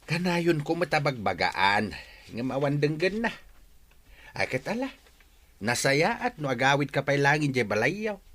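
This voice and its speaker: A man's voice: on the high side, very animated, measured pace, fairly bright tone. An actor in a radio drama playing a kindly older uncle who gives firm, fatherly advice. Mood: concerned, advising. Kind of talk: Ilocano radio drama acting.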